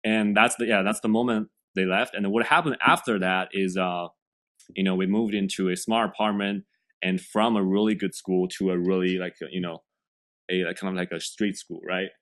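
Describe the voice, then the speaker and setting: A young man from China speaks with a Chinese accent in a very low, deep voice. He is a podcast guest who talks earnestly and haltingly, restarting phrases and leaning on fillers. English is not his first language. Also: fairly even intonation, fairly bright tone. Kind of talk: podcast interview.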